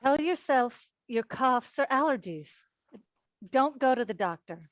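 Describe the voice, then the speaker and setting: A woman's voice: sits high, expressive, measured pace, dry recording. A woman acting an anxious, earnest character in a staged reading of a play. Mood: wry, anxious.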